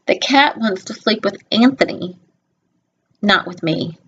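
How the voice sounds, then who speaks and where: A woman reads a picture book aloud to young children at an unhurried, slow pace. Her delivery is expressive, with simple asides to the listeners, and her pitch sits in a middle range. The recording is a little dull and lo-fi. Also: breathy edge. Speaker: storybook reader.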